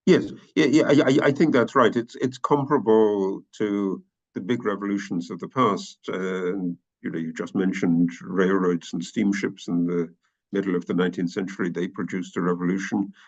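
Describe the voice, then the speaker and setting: A man's voice: low voice, fairly even intonation, moderate pace, dull audio. A British man from the UK as an interview guest, explaining at length with frequent fillers and restarts. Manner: hesitant, many restarts and fillers. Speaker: guest expert. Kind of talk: podcast interview.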